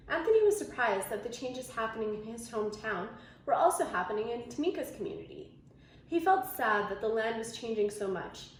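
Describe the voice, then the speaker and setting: Female storybook reader, highish expressive voice, lively intonation. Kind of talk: animated read-aloud of a children's story. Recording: very echoey room, faint noise.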